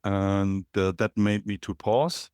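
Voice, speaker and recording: A middle-aged man from Germany with a German accent, a podcast guest calling in remotely and telling his story in a reflective, halting way with many fillers. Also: fairly low pitch, level tone, darkish tone, clean tone, dry recording, studio quality. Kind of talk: casual interview, storytelling. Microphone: remote call.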